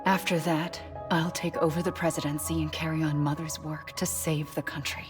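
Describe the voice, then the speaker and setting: An actress playing a solemn, gentle, idealistic leader in a video game, speaking in an earnest, appealing way. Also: female voice, low voice, level tone, moderate pace, over music, close to an everyday voice. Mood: resolute. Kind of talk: cinematic video game acting.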